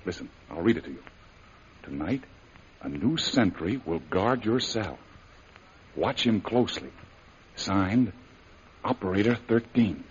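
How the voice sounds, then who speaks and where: An actor playing a steady, good-natured adventurer, plain-spoken and folksy, in 1930s radio serial style. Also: male voice, fairly low pitch, unhurried, long pauses, slight background noise. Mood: hushed, urgent. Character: steady, good-natured adventurer hero, male, hopeful captive. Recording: muffled 1930s radio recording.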